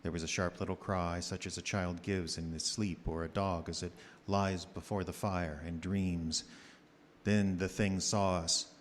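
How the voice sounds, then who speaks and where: An actor playing a somber, haunted, skeptical doctor, speaking deliberately in a gothic radio drama. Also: male voice, low-pitched, flat delivery. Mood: horrified, somber. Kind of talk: gothic radio drama acting and diary narration. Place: live theater stage. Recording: reverberant theater hall with noise.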